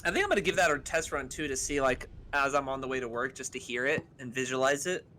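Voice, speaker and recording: Bright-voiced man hosting a casual chat. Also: medium pitch, quick pace, a little hiss or hum. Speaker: podcast host.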